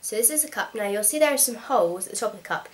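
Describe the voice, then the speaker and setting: A woman's voice: medium pitch, expressive, racing. A young woman with a slight cold, frankly and practically explaining a product in a casual home vlog, with plenty of ums. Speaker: vlogger.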